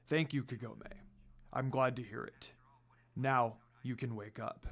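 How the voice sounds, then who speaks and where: A man voicing a calm, kindly dog demon spirit, a father speaking gently and solemnly in a fan fiction reading. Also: mid-range voice, measured pace, creaky, voice pushed down.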